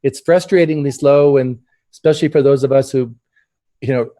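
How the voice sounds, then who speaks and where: A man hosting a casual interview, talking earnestly with halting starts and repeated words. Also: medium pitch, mellow. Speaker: host.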